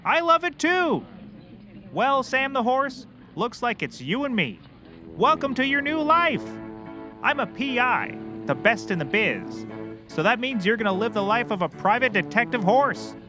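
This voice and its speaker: An actor playing a hapless, overconfident private detective in a scripted comedy, speaking in an eager, self-important, theatrical way. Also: male voice, very high voice, very animated, unhurried, music in the background, heavily acted. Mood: gushing excitement.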